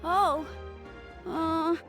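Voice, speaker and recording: Eager young child, very high voice, proud, earnest, video game, faint music. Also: female voice, steady tone, extremely slow, fairly bright tone, full character voice. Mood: apologetic. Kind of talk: video game character acting.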